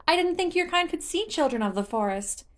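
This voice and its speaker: A woman voicing a tiny, childish wooden forest sprite, cheerful, playful and excitable, in a fan fiction audiobook. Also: a little fast. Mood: bewildered. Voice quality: childish, high-pitched. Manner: excitable, enthusiastic.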